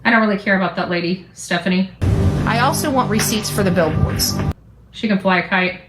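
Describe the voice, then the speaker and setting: A woman's voice: medium pitch, fairly quick, loud music behind the voice. A woman giving informal, opinionated online commentary as a host, blunt, defiant and combative, with salty slang. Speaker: YouTube true-crime commentary host. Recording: echoey, roomy sound.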